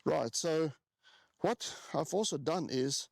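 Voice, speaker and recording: A man's voice: a little high, slow, creaky, a little mellow, no room sound, studio quality. A man in his forties with a South African accent, talking casually to camera as he walks through his own motorbike build. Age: 40s. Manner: chatty, with frequent ums and restarts. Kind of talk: casual walkaround talk to camera.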